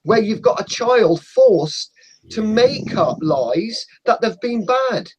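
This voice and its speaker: A passionate man from Portugal speaking up on a casual video call. His voice sits a little higher than most men's and he leans hard into his points. A faint hiss and stray clicks come through his home mic. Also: animated, takes it slowly. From Portugal (Madeira). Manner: emphatic, passionate, says mate. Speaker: guest on an online hangout. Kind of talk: casual group chat.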